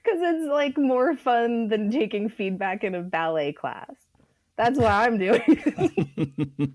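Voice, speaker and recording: A woman's voice: on the high side, expressive, slow pace, darkish tone, dry recording. A woman, a writer guesting on a podcast, talking casually in a frank, wry and reassuring way. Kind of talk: casual conversational interview.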